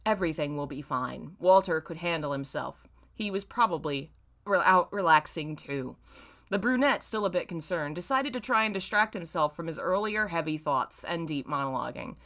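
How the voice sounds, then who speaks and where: A woman reads a story aloud for her online audience in a bright, forward voice. Her pitch moves around a great deal, giving the narration a dramatic, lively shape. She slips between reading and casual, self-mocking commentary on the text. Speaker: YouTube host reading fan fiction aloud.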